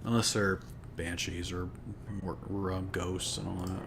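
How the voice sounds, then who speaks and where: A man with a deep, low voice, chatting casually while modding on a live stream, talking to himself and sounding tired and flat. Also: normal speed, gravelly, very noisy. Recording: music playing behind.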